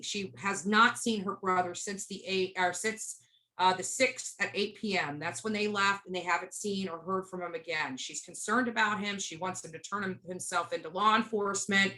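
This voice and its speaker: A woman with a bright, forward voice recounts things as an interview guest. She is blunt and emphatic, narrating in casual speech and slipping into quoted dialogue. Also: mid-range voice, rough recording. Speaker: interview guest, street protester. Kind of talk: animated casual storytelling.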